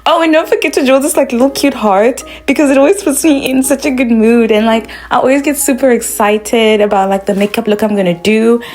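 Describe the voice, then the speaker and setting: A woman's voice: fairly high pitch, fairly even intonation, fairly quick, few pauses, a little mellow. A young woman from South Africa, a makeup vlogger talking through a tutorial in a bubbly, chatty way, full of "like" fillers.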